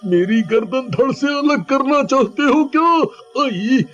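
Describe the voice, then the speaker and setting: Man acting a very high-pitched, panicked village husband. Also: expressive, fairly bright tone, heavily acted. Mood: panicked, pleading. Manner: wailing, pleading, drawn-out exclamations. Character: panicked, whining village husband trapped on railway tracks. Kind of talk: exaggerated cartoon story acting. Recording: dull, lo-fi sound with music behind.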